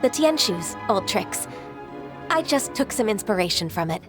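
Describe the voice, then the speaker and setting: A woman playing a gentle, modest and composed secretary and mentor in an anime game dub, speaking with polite, measured formality. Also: medium pitch, very animated, natural voice. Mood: composed explaining. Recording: music behind.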